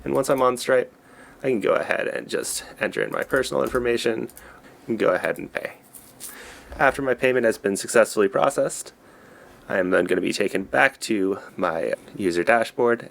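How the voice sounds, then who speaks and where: A man narrating a step-by-step software demo as its presenter. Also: medium pitch, lively intonation, brisk, gravelly.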